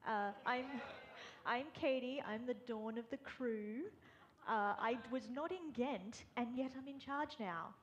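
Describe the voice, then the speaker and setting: Wry Australian woman, bright voice. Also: fairly high pitch, a little slow, muffled recording. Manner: dry, wry humor. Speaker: developer relations engineer. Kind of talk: casual talk to a live audience. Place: conference room on stage.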